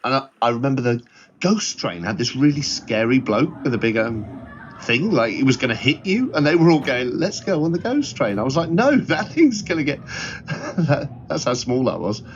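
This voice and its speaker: A man's voice: medium pitch, big pitch swings, normal speed, a little hiss or hum. A middle-aged man reminiscing casually and chattily, repeating phrases for emphasis. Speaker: interviewee sharing memories. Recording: dull, slightly lo-fi sound.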